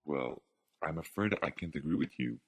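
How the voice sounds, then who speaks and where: A young male student with an extremely deep voice takes part in a scripted radio debate. He words his view formally, recorded dry and without room sound. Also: animated, moderate pace, husky, no room sound. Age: young adult.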